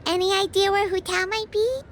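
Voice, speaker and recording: A woman voicing a tiny, childlike, excitable fairy companion in a video game, with a squeaky, perky delivery. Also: a little fast, a little hiss or hum. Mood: explaining. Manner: perky, exclamatory.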